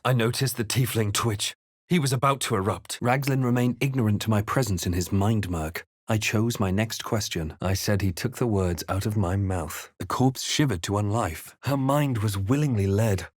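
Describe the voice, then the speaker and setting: Measured fantasy adventurer played by a man, dry recording. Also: on the low side, dry recording, natural voice. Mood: grave, observant. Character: brooding male fantasy adventurer hero, narrating his visions and deeds. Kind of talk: dramatic video game voice acting.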